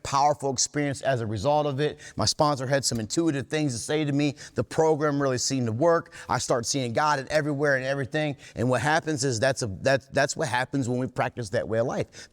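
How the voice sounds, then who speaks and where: A man giving a candid, plain-spoken talk to a recovery group, driving home his points by repeating and rephrasing them. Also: mid-range voice. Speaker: workshop speaker at a recovery meeting. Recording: reverberant hall.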